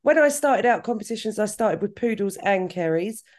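A woman in her forties, stuffed up with a cold, talks casually as an interview guest. Her pitch sits in a middle range, and she chats freely with quick asides. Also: animated, a little mellow. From southern England. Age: 40s. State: bunged up with a cold. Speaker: guest, salon owner.

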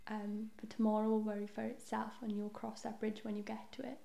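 Young woman for a guest spot: warm, slightly fried voice, casual and chatty, in a roomy space. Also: medium pitch, completely flat delivery, brisk, gravelly. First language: Welsh. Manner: chatty, self-deprecating, often trails into soft asides. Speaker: podcast guest. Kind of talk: casual chat. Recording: roomy echo.

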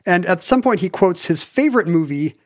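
Wry, joking man with a slightly high voice. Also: lively intonation, no room sound, studio quality. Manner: wry, joking, halting restarts. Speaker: podcast co-host. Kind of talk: casual chat.

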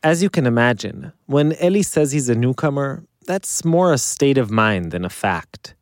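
A man hosting a podcast, narrating in a calm, measured way. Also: mid-range voice, no echo, clean studio sound. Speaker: podcast host. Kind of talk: scripted narration.

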